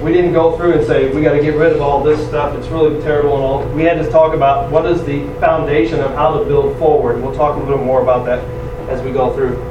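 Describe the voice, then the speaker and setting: A man in his forties giving a loud, energetic, self-deprecating presentation to a conference audience. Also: fairly high pitch, fast talker, muffled recording. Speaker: conference speaker. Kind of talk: presentation talk. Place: large conference room. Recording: echoey room from far off, noisy.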